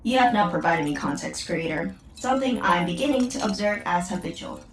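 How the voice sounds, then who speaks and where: A woman voicing a literal-minded female AI assistant who speaks in measured, formal phrases in an audio drama. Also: medium pitch, lively intonation, a crowd in the background, natural voice. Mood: calm, precise.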